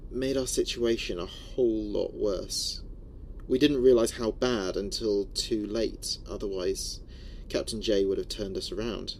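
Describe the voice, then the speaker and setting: A low-voiced, haunted crewman played by a man records his log in a sci-fi horror audio drama. He speaks slowly and gravely, breaking his phrases with heavy pauses, weary and afraid, with some noise behind him. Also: darkish tone. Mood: grave, uneasy.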